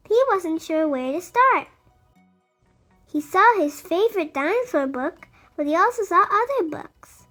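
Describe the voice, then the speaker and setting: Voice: very high-pitched, pushed and bright. A storybook reader narrating a picture book expressively for young children.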